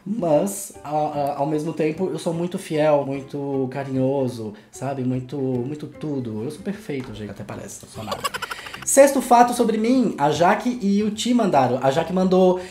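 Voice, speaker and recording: Young male vlogger with a Florianópolis accent worn toward São Paulo, chatty and self-mocking, mellow tone, roomy home recording with faint music. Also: a little high, animated, moderate pace. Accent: Florianópolis Portuguese, softened toward São Paulo. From Florianópolis, southern Brazil. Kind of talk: casual chat to camera.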